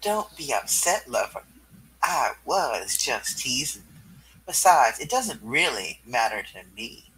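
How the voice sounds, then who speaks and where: A man's voice: mid-range voice, very animated, takes it slowly, bright, forceful tone, slight background noise, exaggerated voice, low-quality recording. Man playing a flirtatious, swooping female cat burglar. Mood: adoring, excited. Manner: purring, teasing, flirty delivery. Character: flirtatious, seductive female cat burglar, thrill-seeking lover. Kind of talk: comic book live reading.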